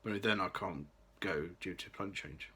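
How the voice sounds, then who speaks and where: Low-voiced young man, bright tone. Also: unhurried, breathy edge. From Birmingham, England. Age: late 20s. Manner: careful, read-aloud delivery with occasional restarts. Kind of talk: scripted personal vlog.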